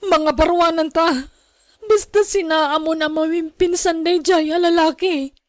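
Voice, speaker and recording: A woman plays a betrayed Filipino lover in a radio drama. She is emotional and full of reproach, her voice pitched high in a dry recording. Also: fairly even intonation, a little slow, no room sound. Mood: hurt reproach. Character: betrayed, wounded lover confronting an unfaithful partner.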